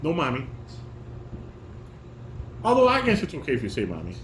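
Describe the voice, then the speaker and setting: A man with a high, swooping voice directs a home table read, switching between instructions and read-aloud stage directions. The room echoes, with a light hum of fan and air conditioner. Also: huge pitch swings, normal speed. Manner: instructing, repeats and corrects lines. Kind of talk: casual coaching mixed with reading stage directions aloud. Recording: roomy echo, fan and air conditioner noise.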